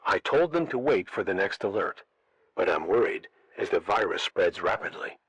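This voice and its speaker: A man playing a radio broadcaster holed up during an outbreak, reading scripted emergency bulletins in a measured way. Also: low-pitched, sing-song, a bit bright, slightly rough, no echo, heavily acted, low-quality recording. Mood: grave, worried.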